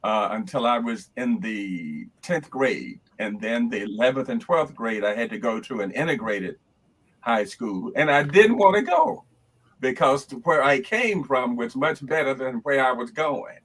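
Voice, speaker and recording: A man's voice: medium pitch, animated, a little slow, fairly bright tone, poor audio. An older man and choral conductor in casual chat, reflective, often repeating words as he gathers his thoughts.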